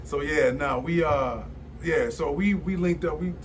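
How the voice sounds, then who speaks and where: A man's voice: fairly high pitch, unhurried, recorded from a distance, a little hiss or hum. Rambling forty-something man, off-mic. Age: 40s. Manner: rambling storyteller, many fillers and restarts. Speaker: guest. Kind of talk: casual studio conversation.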